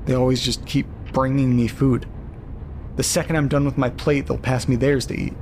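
Male actor as lonely man, uneasy, audio drama narrator, eerie letter reading, mid-range pitch, light background noise. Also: flat delivery, moderate pace, darkish tone, lightly acted. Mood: bewildered, troubled.

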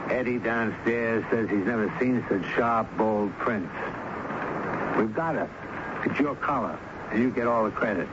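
An actor playing a brisk, decisive police inspector in a 1970s radio mystery, blunt and authoritative. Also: male voice, lowish voice, close to an everyday voice. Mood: confident, businesslike. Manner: blunt, matter-of-fact, authoritative. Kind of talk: 1970s radio drama acting. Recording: very noisy, dull 1970s radio broadcast.

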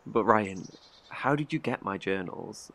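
A man's voice: lowish voice, animated, unhurried, fairly bright tone. An actor playing a wary, guilt-ridden male survivor in an audio drama, terse, guarded and defensive. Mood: wry.

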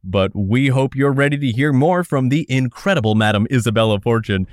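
An actor playing a flustered radio telethon host who laughs nervously and begs listeners to call. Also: male voice, mid-range voice, fairly quick, dry recording, clean studio sound, natural voice.

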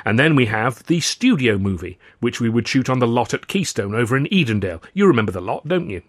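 A vain film comedian shows off his success, as a male narrator plays him. He is boastful and theatrical, pushing his words with emphasis, and pitched a little above the storyteller in a close, dry audiobook recording. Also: very animated, fast talker, no echo. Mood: explaining, showing off.

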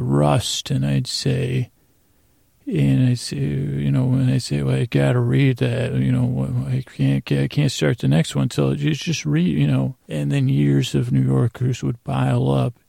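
Very creaky, rambling male podcast host. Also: medium pitch, mellow, no room sound. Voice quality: gravelly, creaky. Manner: halting, meandering, full of stammered false starts and trailing tangents. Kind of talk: rambling bedtime monologue.

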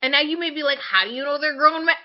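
Higher-pitched young woman, candid podcast chat. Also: rapid-fire, muffled recording. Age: young adult. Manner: candid, opinionated, acts out imagined quotes. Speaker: podcast co-host. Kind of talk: casual conversational discussion.

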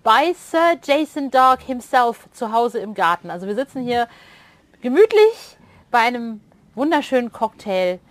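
Female podcast co-host, middle-aged, slightly high voice, casual interview chat, close dry sound. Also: very animated, a little slow, no echo.

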